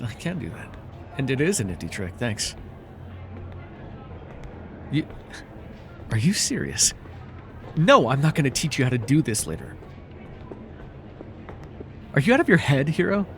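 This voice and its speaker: A man playing a controlling, vengeful supervillain in an immersive ASMR roleplay, taunting and giving orders in a deliberate, mocking way. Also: mid-range voice. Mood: angry, scornful. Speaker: voice actor.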